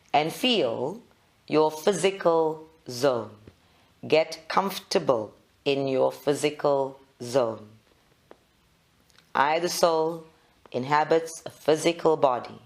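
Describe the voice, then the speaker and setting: Very slow meditation guide. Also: medium pitch, vocal fry. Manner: measured, instructional, with short phrases and long pauses.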